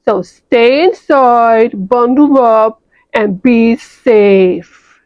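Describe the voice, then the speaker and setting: A woman playing a grave, slow TV news anchor. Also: fairly high pitch, no echo, highs cut off. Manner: slow, grave announcer delivery. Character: solemn female TV news anchor. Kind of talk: toy play storytelling.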